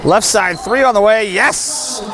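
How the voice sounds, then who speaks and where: A man giving live play-by-play of a high school basketball game, excitable and quick to jump up in pitch on big plays, with light jokes between calls. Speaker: play-by-play announcer. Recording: loud gym noise under commentary. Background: crowd and bouncing basketballs.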